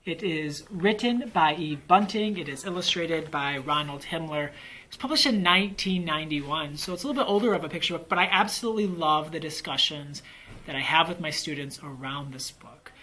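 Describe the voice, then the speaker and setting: Bright, forceful American man, emphatic vlogger. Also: fairly high pitch, fairly quick, rough recording. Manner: enthusiastic, emphatic, repeats himself for emphasis. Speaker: elementary school teacher and book reviewer. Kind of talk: casual vlog book recommendations. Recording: faint background noise, ambient sound.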